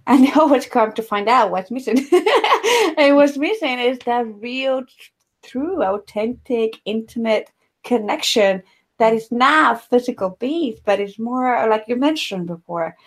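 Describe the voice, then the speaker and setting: A woman's voice: fairly high pitch, expressive, measured pace, slightly rough. Woman; podcast host, rambling and filler-heavy. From Ecuador. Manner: searching, rambling, filler-heavy, often laughs. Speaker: host, somatic healer. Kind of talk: conversational podcast interview.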